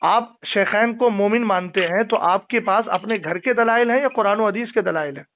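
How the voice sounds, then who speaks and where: A man's voice: high voice, moderate pace. A man debating religion over a phone call, insistent, questioning and often cutting in. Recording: tinny, band-limited phone call recording.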